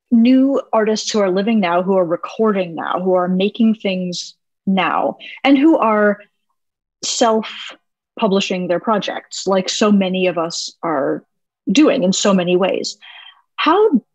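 A woman's voice: medium pitch, no echo. Chatty woman podcast host, dry dull mic. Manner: chatty, rambling questions, self-deprecating. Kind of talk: casual interview chat.

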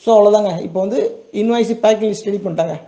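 Man, Tamil Nadu accent, mellow dark tone, rapid speech, informal instructor, reassuring, plain-spoken. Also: high voice, highs cut off. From Tamil Nadu, India. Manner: reassuring, plain-spoken, often checks in with listeners. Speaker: export business owner giving a tutorial.

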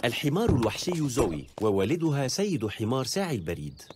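A man narrating a dubbed children's cartoon in a bright storytelling manner. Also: medium pitch, big pitch swings, fast talker, heavy background noise.

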